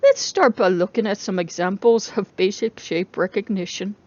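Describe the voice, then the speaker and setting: A woman's voice: mid-range voice, normal speed, no echo, muffled recording, pitched below the performer's own voice, close to an everyday voice. A woman voicing an intense female robotics professor who lectures her class in a didactic, emphatic way, as in an audiobook. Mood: instructive.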